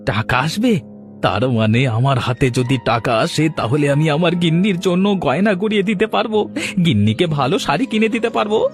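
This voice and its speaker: A man voicing an excitable, gullible poor villager in a cartoon, prone to stammering. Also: a little high, brisk, faint music behind. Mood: eager, flustered. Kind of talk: cartoon story acting and narrating.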